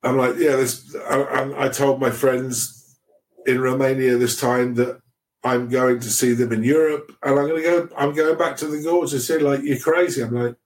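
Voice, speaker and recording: A man's voice: mid-range voice, steady tone, moderate pace. Echoey-voiced man chatting loosely. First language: English. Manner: rambling, swears freely, restarts often. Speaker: podcast guest. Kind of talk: casual chat.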